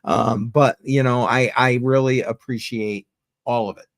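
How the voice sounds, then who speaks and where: A middle-aged man from Massachusetts with a Massachusetts accent, hosting a casual live chat in a relaxed, chatty way. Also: medium pitch, a little slow. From Southeastern Massachusetts. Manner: relaxed, chatty, drinking beer. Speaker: livestream host.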